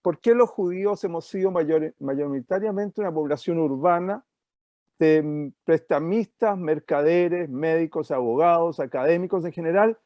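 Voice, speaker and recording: A man from Chile with a Chilean Spanish accent, giving an online lecture over a video call and pausing often with filler sounds. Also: fairly high pitch, no room sound, lo-fi sound. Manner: hesitant, many fillers. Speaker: guest speaker.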